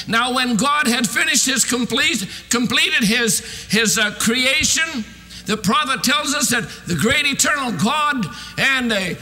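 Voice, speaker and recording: A man in his sixties preaching a fervent sermon in an emphatic, declamatory way with drawn-out stressed phrases. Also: extremely high pitch, pushed and bright. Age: 60s. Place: large church sanctuary, at a pulpit. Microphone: podium microphone. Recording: reverberant hall sound.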